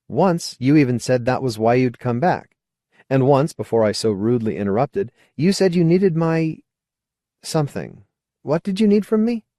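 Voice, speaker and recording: A man's voice: medium pitch, very animated, normal speed, warm tone, no echo, clean studio sound, pitched above the performer's own voice, close to an everyday voice. A man voicing a wary, awkward, questioning male character in an audiobook. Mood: probing. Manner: hesitant, questioning. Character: wary, awkward man, skeptical former idealist.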